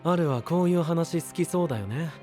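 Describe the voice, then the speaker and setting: Man acting a mellow, easygoing young knight. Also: on the high side, a little fast, long reverb tail, faint music behind, natural voice. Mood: friendly. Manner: light, friendly, casual phrasing. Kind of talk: Japanese anime audio drama acting.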